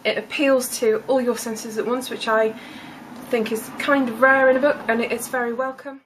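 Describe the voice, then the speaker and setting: A woman with a fairly high voice reviews a book. There is slight noise in the background as she speaks. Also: fairly even intonation, normal speed, poor audio.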